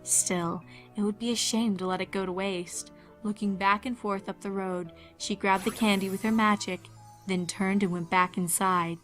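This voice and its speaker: A woman's voice: medium pitch, steady tone, normal speed, soft background music. A woman giving a scripted narration of a fan fiction horror story.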